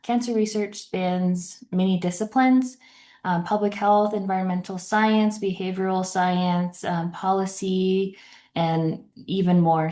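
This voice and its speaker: A woman's voice: medium pitch, mellow. Woman, webinar moderator, reads intro, fillers, video call. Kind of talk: reading an introduction.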